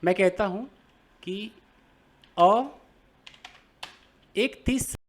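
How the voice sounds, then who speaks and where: A young man with an Indian accent teaching English to beginners, reading English phrases slowly and explaining them with many questions to his viewers. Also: sits high, slight background noise. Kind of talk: explaining a newspaper article to beginners.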